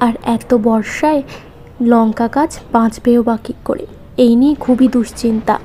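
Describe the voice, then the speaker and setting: A woman narrating a children's cartoon story in measured, short phrases. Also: on the high side, dark tone, a little hiss or hum. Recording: music behind the voice.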